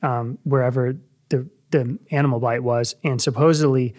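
A man's voice: medium pitch, steady tone, normal speed, darkish tone. Guy hosting a podcast, rambly, lots of ums. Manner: rambling, filler-heavy. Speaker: podcast host. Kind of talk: casual interview.

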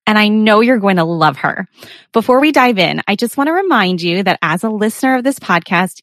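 A woman's voice: medium pitch, a bit bright, dry recording, studio quality. A woman hosting a podcast, reading a scripted intro and then chatting with a guest in a soothing, friendly, upbeat way. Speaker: podcast host.

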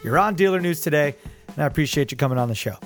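A man's voice: a little high, expressive, rapid-fire, faint music behind. A man hosting a business podcast, upbeat and chatty, interviewing a guest over a remote call with many friendly restarts. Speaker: podcast host. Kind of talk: casual interview chat.

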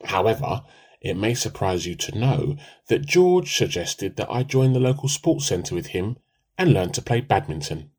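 A man's voice: on the low side, moderate pace, slightly rough. A man, playing a timid, comically earnest, melodramatic husband in a radio play. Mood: defensive. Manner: pompous, excitable. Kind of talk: amateur radio play dialogue.

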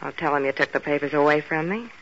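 Hard-boiled femme fatale, American accent, teasing, sharp tone, noisy vintage radio sound. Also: female voice, low-pitched, quick pace. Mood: coolly flirtatious. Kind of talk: 1940s radio detective acting. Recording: dull, noisy old radio recording.

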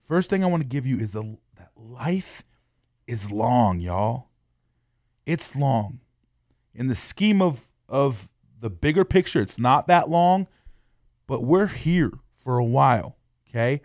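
A man's voice: mid-range voice, expressive, slow pace, a little mellow, no room sound, studio quality. A young adult man, hosting a podcast and talking to listeners in an earnest, encouraging way. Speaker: podcast host. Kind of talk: motivational podcast talk.

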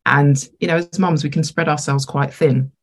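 A woman co-hosting a casual podcast chat, her voice croaky today as she thinks aloud in a reflective way. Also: low-pitched, fairly even intonation, normal speed. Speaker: podcast co-host.

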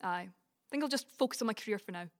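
A woman with a Scottish Dumfries accent acting in an audio play as a brash, gossipy, blunt friend with a soft side. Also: medium pitch, lively intonation, fast talker, natural voice. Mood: reluctant, frank. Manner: chatty, blunt, sweary. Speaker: community cast actor. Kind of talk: community audio drama, colloquial dialogue.

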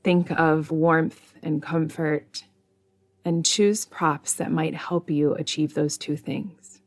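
Female meditation guide, low register, soothing and measured, gentle music underneath. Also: steady tone. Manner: soothing, measured delivery with long pauses. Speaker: meditation guide, podcast host.

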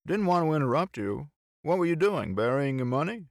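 A boisterous man , as an audiobook narrator plays him, who teases and mock-preaches with emphatic playfulness. His pitch swings up high and swoops about, close and dry on the recording. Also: very animated, fairly quick, no echo. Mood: playful teasing. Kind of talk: audiobook character dialogue.

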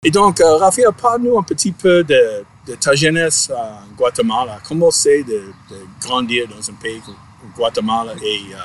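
A man hosts a casual interview in an unhurried way. His voice sits at a middle pitch, and he pauses often, filling the gaps with hesitation sounds. He guides the talk with gentle questions. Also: expressive, a little hiss or hum, poor audio. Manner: hesitant, many filler sounds, chuckles often. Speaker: podcast host. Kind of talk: conversational interview.

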